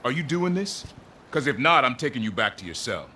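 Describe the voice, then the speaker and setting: An actor playing a stern, blunt commanding agent in a video game, gruff and scolding in manner. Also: male voice, mid-range voice, sing-song, brisk, pushed and bright. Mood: stern, angry. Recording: clear engine-like background noise.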